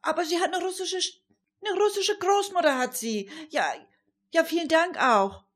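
A woman's voice: very high-pitched, moderate pace, voice pushed up. A woman playing a fretful but resourceful amateur detective in a radio play, chatty and full of exclamations. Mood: earnest, fretful.

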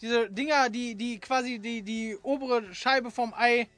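A man's voice: very high-pitched, measured pace, a bit bright. A man hosting a podcast, asking questions in a casual, jokey way. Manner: jokey, playful. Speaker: podcast host, interviewer. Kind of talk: casual chat.

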